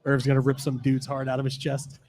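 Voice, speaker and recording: A man's voice: mid-range voice, monotone, brisk, a little mellow. A man chatting casually and joking around in group banter.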